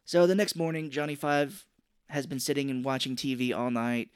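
A man's voice: medium pitch, clear, resonant tone, no echo, studio quality. A man co-hosting a podcast, recapping a film in casual chat and joking as he retells scenes. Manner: joking, recaps scenes with quick put-on quotes. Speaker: podcast co-host.

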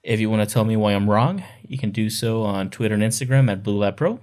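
A man's voice: lowish voice, fast, a little mellow. A man hosting a podcast in casual chat, talking informally and emphatically as a fan. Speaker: podcast host.

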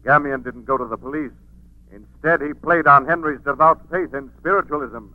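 A man's voice: a little high, dry recording, natural voice. A man acting a menacing unseen avenger, old radio. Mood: accusing. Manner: slow, ominous, declamatory. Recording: muffled narrow-band 1940s radio recording.

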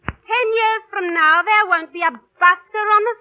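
An actress playing a feisty, ambitious young London street dancer with a cockney accent, blunt and defiant, in an old radio drama. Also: female voice, very high voice, a little slow. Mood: fed up, scolding. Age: young adult. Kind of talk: 1940s radio drama acting. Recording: dull vintage radio broadcast recording.

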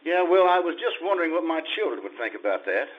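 Guy doing a vague evasive widower, kinda high voice, radio play comedy, muffled audio. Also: sing-song, quick pace. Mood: wistful, dithering. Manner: hesitant, vague, dithering. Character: evasive, absent-minded widowed lawyer reluctant to remarry. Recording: dull, muffled live show audio.

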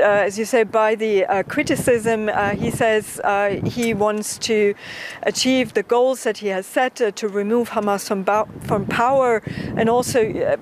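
A woman news correspondent giving a live report, speaking haltingly with frequent hesitations and repeated words. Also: a little high, noisy background.

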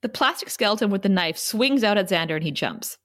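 Animated woman narrating stage directions. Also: mid-range voice, a little fast. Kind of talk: scripted narration at a table read.

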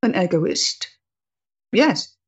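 Woman playing a slow-spoken, resolute Victorian lady. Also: lowish voice, very animated, husky, heavily acted. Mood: guarded. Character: resolute, guarded woman reformer of the 1890s. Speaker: actor. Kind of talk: period stage-drama acting. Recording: muffled, slightly noisy recording.

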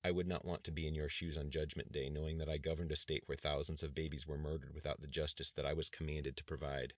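A man's voice: rumbling low, level tone, fast, no echo, clean studio sound. A man reading a novel aloud, voicing an earnest male pastor counseling a governor. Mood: solemn. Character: earnest male pastor, grave spiritual advisor.

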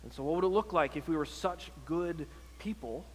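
A man's voice: a little high, moderate pace. A man preaching a sermon as a pastor, earnest and exhortative, posing rhetorical questions and often repeating his phrases. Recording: long hall reverb on sermon audio.

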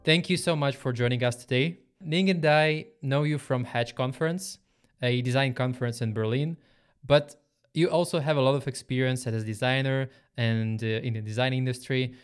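A man's voice: mid-range voice, moderate pace, smooth. A man hosting a podcast in a conversational style. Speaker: podcast host.

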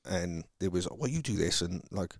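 Deep-voiced Welsh man, rambling. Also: animated, vocal fry, dry recording. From Wales. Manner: rambling, halting, frequent restarts. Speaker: car salesman guest. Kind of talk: casual conversation.

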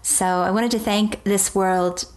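A woman from the East Coast of the United States co-hosts a relaxed podcast. She reads listener messages and chats in a friendly, easy way. Her voice sits at an ordinary pitch. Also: unhurried. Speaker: podcast co-host. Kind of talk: casual chat.

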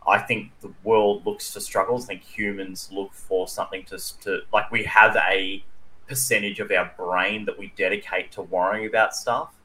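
A man's voice: lowish voice, fairly even intonation, normal speed, bright, forceful tone, low-quality recording. A man co-hosting a podcast, casually explaining his views in conversation. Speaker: podcast co-host.

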